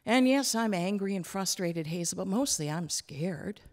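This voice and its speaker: A woman reading as a gruff but tender grandfather in his late sixties, folksy and plain-spoken, in a literary story. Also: mid-range voice, expressive, moderate pace, natural voice. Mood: tender, earnest.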